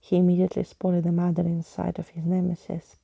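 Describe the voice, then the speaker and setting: A woman reading a storybook as soft ASMR narration, hushed, breathy and often whispering, close to the microphone. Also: lowish voice, monotone, brisk, dry recording. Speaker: ASMR reader.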